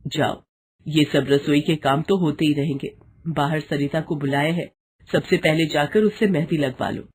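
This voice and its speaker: A woman voicing a caring, protective older mother-in-law, soothing and gently commanding, in a moral story. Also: deep voice, fairly even intonation, normal speed, breathy edge. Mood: firm, concerned.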